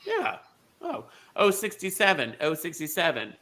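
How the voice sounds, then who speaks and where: A man hosting an online bingo show, teasing his guests with quick, campy asides. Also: on the high side, huge pitch swings, sharp tone.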